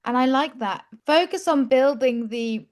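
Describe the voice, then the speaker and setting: A woman with a fairly high voice hosts an English-learning interview. She asks open questions and keeps encouraging her guest. She often reacts with an easy "wow". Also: unhurried.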